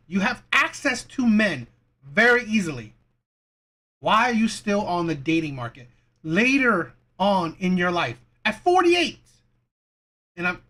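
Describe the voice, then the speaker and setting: A man's voice: sits high, a bit bright. A man giving casual, opinionated commentary as a video host, in a rambling, repetitive way. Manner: rambling, repetitive, rhetorical questions. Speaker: video host reacting to dating clips.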